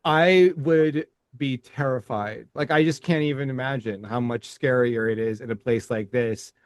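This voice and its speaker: A middle-aged man co-hosting a casual podcast, speaking in halting phrases with many restarts and stammers. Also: medium pitch. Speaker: podcast co-host. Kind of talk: casual chat.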